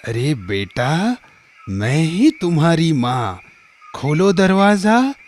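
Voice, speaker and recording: Slow-talking villainous cartoon wolf, played by a man. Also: a little high, big pitch swings, darkish tone. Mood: pleading. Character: sly, hungry villainous wolf scheming to trick kids. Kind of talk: children's cartoon story acting.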